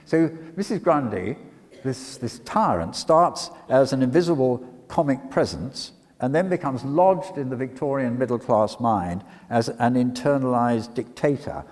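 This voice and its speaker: Older man, public lecturer, literary talk, mid-pitched, halting with repeated words, long echo of a church. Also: a little mellow. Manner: hesitant, often repeats words between polished phrases. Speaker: lecturer and dramatic reader. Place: talk in a church before an audience.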